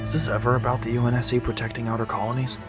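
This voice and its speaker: A man's voice: mid-range voice, flat delivery, racing, music in the background, natural voice. A man playing an idealistic, defiant teenage son with earnest delivery in an audio drama.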